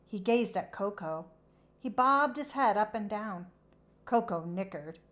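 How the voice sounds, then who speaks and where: A woman who hosts children's read-alouds, narrating a storybook expressively in a measured, animated way. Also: mid-range voice.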